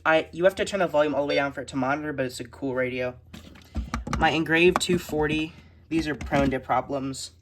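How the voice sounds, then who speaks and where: A collector casually showing items one by one in a halting, list-like way, recorded indoors on a handheld phone. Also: medium pitch, noisy background. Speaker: hobbyist collector presenting a radio collection. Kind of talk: casual show-and-tell narration of a collection. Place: indoor room with shelves of electronics. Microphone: handheld phone behind the camera.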